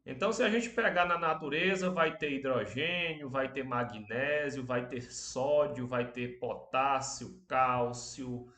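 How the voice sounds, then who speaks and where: A Brazilian man teaching an online class, speaking softly because he has a cold and a sore throat. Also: mid-range voice, roomy sound. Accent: Brazilian Portuguese. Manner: speaks softly, slow and unhurried, many tag questions.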